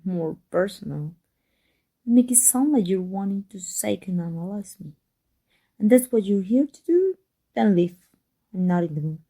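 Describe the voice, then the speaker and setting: A prickly supervillainess, played by a woman in an ASMR roleplay audio drama. Her medium-pitched voice is soft-edged and mellow, with some huskiness. She delivers her barbs with theatrical, sardonic scorn, taunting her rival. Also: animated, measured pace, pauses often. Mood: irritated, guarded.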